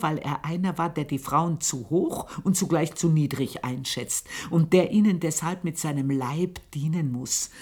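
A bitter old housemaid. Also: female voice, low voice, husky, exaggerated voice. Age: older. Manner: dramatic, swings between loud outbursts and hushed whispers. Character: aging housemaid, bitter and brooding storyteller. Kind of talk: radio play monologue.

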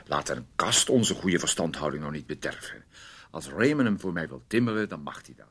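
Radio drama: courteous, set-in-his-ways male artist, bottomless low pitch, husky murmur, unhurried. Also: lively intonation, a bit bright, heavily acted. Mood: placating. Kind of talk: radio play acting.

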